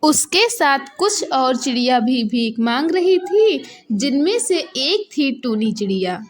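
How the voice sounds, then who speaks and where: Lady reading a kids story, high voice, takes it real slow. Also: sing-song, a little hiss or hum. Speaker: narrator. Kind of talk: scripted children's story narration.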